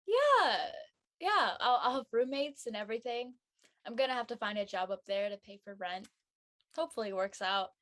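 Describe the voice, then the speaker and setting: A woman's voice: on the high side, animated, moderate pace, a bit bright. A woman playing a practical, slightly wistful college-bound young woman in casual scripted dialogue.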